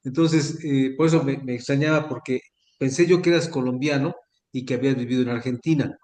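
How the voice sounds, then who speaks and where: A man's voice: mid-range voice, brisk, a little mellow. Mexican guy asking questions, friendly and chatty, echoey room. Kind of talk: conversational interview on a video call.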